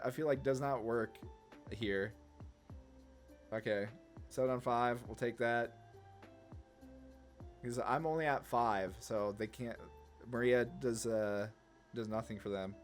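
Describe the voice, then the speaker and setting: A man with a mid-pitched voice talks casually through a game as he plays. He rambles informally, reasoning out each move aloud. Music plays behind him. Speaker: gaming content creator, player. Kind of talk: casual gameplay commentary, thinking aloud.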